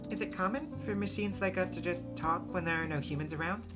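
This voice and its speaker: A woman's voice: on the low side, fast talker, over music, voice pushed up, close to an everyday voice. A woman voicing a polite, literal-minded young android investigator in a video game, reserved and formal. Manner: reserved, formal, matter-of-fact. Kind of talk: video game character voice acting.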